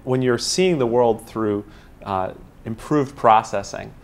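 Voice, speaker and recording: A male neuroscientist explaining in an interview, pausing with fillers. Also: mid-range voice. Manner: explanatory, with hesitations. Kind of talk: expert interview.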